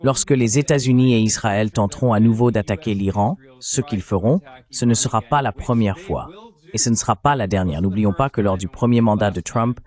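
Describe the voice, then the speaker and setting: A man's voice: on the low side, fairly quick, darkish tone, lightly acted. A man voicing a French translation of a political analyst's explanatory interview commentary.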